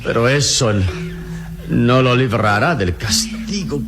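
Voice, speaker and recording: An actor playing a noble, unbreakable adventure hero in a melodramatic radio drama, speaking solemnly and sententiously with a creaky deep voice. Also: male voice, animated, takes it slowly, music in the background. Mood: solemn defiance. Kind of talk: melodramatic Latin American radio drama acting.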